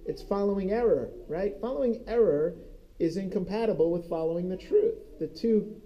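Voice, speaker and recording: High-voiced man leading a Bible study. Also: normal speed, highs cut off. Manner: conversational, keeps checking in with "right?". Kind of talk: teaching a group discussion. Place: church meeting room.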